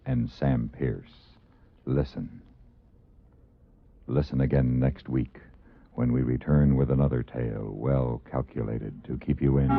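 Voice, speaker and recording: A man reading scripted old-time radio announcements solemnly, with dramatic pauses. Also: rumbling low, no echo. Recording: tinny, husky old radio recording. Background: music.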